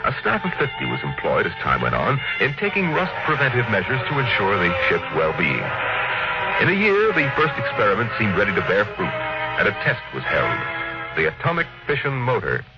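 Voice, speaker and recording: An actor playing a solemn, theatrical storyteller, narrating in measured old-time radio style. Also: male voice, on the low side, wildly swooping pitch. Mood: dramatic. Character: dramatic, solemn male storyteller of science fiction. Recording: dull vintage radio recording. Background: loud dramatic music.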